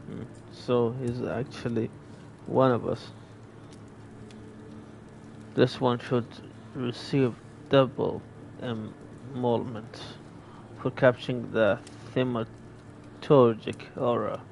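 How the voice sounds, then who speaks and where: Gamer guy reading game text slow, gravelly voice, stops to explain words. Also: mid-range voice, steady tone, darkish tone, slight background noise, poor audio. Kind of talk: casual gameplay commentary.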